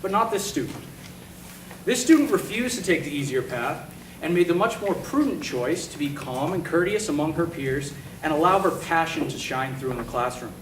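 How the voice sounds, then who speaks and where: A man's voice: fairly high pitch, sing-song, moderate pace, slight background noise. A man, a teacher, giving a prepared, earnest award speech at a school assembly, with filler ums. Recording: echoey hall, far from the mic.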